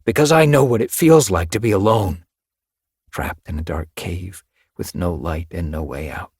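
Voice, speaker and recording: A man's voice: deep voice, moderate pace, darkish tone, breathy edge, dry recording, studio quality. A man voicing a grieving young male warrior cat in an audiobook, speaking earnestly and steadily. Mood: earnest, urging. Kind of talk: audiobook character voice.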